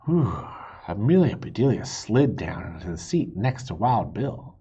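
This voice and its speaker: A man reading a children's story aloud in a playful, expressive way, with a husky voice. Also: fairly low pitch, unhurried. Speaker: storybook reader.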